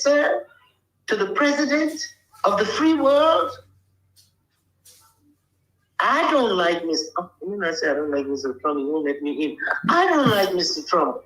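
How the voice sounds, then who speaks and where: A woman's voice: medium pitch, a bit bright, roomy sound, rough recording. An older woman from Liberia, speaking bluntly and emphatically as an interview guest, often checking that she is understood. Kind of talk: casual interview talk.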